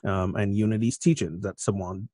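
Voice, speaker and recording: Middle-aged man, low register, dry close sound; easygoing guest storyteller. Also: normal speed, husky, no echo. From Barbados. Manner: relaxed, self-deprecating, with frequent fillers. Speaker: minister, show guest. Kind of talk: casual conversational storytelling.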